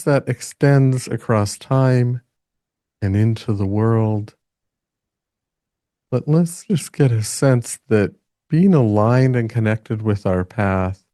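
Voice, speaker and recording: A man leading a guided meditation in a gentle manner, speaking in halting phrases with long pauses between them. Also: medium pitch, no room sound, studio quality.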